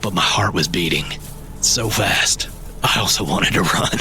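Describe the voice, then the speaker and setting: A man playing an earnest cryptid enthusiast, telling his story in a measured, halting way in a fantasy audio drama. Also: sits low, vocal fry, noisy background. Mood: hushed fear.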